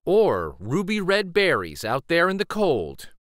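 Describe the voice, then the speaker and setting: A man in his forties reading a children's picture book aloud in an expressive, gentle storytelling way. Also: fairly high pitch, measured pace, no echo, studio quality. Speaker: children's story reader and host.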